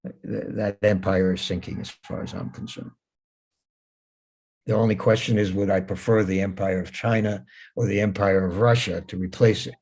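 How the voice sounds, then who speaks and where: Older man in his 70s, Hebrew native speaker, low voice, wry and blunt, stumbles and repeats, video-call audio. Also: steady tone, moderate pace, a little mellow, husky. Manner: repeats himself, plain-spoken, wry. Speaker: speaker at a talk. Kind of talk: casual talk.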